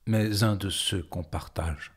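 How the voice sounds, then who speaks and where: A man reciting poetry in a dramatic style, phrasing deliberately with frequent pauses and a husky voice. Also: deep voice. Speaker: poetry performer.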